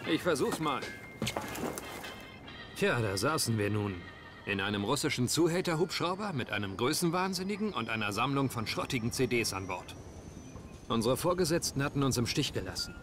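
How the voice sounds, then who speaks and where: An actor playing a level-headed soldier in a video game, with a dry, wry way of talking. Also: male voice, medium pitch, expressive, normal speed, lightly acted. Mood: wary. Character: level-headed soldier narrating his squad's misadventures. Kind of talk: scripted game dialogue and narration. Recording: music behind the voice.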